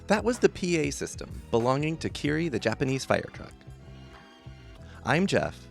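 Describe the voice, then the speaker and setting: A man's voice: on the high side, big pitch swings, creaky, over music. A man hosting a podcast, introducing the show and chatting with his guest in a joking, easygoing way. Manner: chatty, quick to laugh and joke with the guest. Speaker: podcast host. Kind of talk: conversational interview and scripted show intro.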